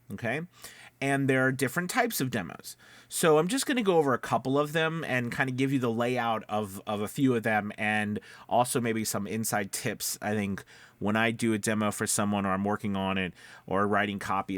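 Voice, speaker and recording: Male vlog host and coach, average pitch, informal and explanatory, recorded dry. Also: a bit bright, dry recording. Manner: conversational, explanatory, often checking in with "okay" and "you know". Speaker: voice-over coach and video host. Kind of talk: casual instructional vlog talk.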